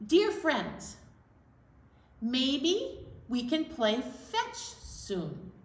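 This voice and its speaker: A woman hosting an interactive storytime for preschoolers, playful and expressive, prompting the children with questions. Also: high-pitched, drawn-out words. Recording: echoey room.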